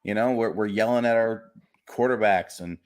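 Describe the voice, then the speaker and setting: A man with a fairly low voice co-hosts a laid-back sports podcast. He grumbles about his team in a wry, gloomy way and pokes fun at himself as he goes. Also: normal speed, darkish tone. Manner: wry, gloomy, self-mocking banter. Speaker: podcast co-host. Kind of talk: casual sports podcast chat.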